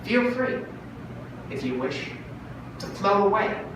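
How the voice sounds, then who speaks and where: A man voicing a fast-talking, wheedling brother pitching a bargain in a dramatic monologue from a short story. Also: heavily acted. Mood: brooding, solemn. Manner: salesman-like, cajoling, animated. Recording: very echoey room, distant mic, noisy.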